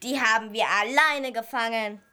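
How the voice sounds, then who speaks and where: A woman's voice: a little high, normal speed, pushed and bright, clean tone. A young girl playing a stubborn, cheeky policewoman in an amateur radio play. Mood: blunt, cheeky. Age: child or young teen.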